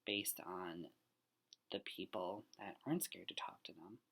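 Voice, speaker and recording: Young man, deep voice, student, casual reflection, earnest, restarts, breathy trailing whisper. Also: measured pace, fairly bright tone. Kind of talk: casual spoken reflection on a book.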